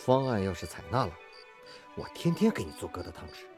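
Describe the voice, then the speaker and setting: An actor playing an earnest, dedicated factory engineer in a Chinese radio drama. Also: male voice, fairly low pitch, very animated, fairly quick, music in the background. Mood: gentle. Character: earnest, dedicated male factory engineer, devoted to his work.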